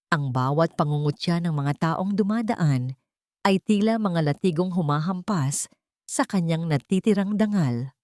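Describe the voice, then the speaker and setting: A woman's voice: lowish voice, warm tone, no room sound, studio quality. A woman with a Filipino accent narrating a scripted, dramatic audio story.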